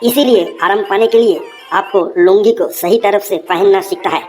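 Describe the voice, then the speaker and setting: A woman's voice: mid-range voice, fairly quick, a little mellow, close to an everyday voice. A woman voicing an earnest, cheeky Bengali schoolboy in a comedy cartoon, deferential and reciting like a pupil. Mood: proud reciting. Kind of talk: comic cartoon voice acting. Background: music.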